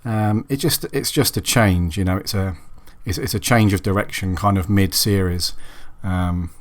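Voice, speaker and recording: A man hosting a solo podcast who sounds raspy and husky from a cold. He chats casually and rambles, with many ums and restarts. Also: low voice, moderate pace. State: hoarse from a cold. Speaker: podcast host.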